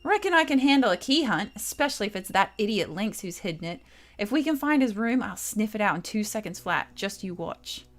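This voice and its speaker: A woman's voice: mid-range voice, expressive, fairly quick, lightly acted. A woman voicing a cocky young female thief from a visual novel game, grumbling in slangy talk.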